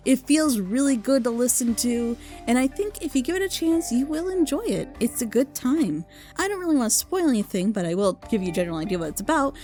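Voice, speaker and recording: Female reviewer, higher-pitched voice, loose and enthusiastic rambling, unscripted chat with music underneath. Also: very animated, brisk, mellow. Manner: informal, gushing, rambling. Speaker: reviewer recommending audio dramas. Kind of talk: casual unscripted recommendation chat.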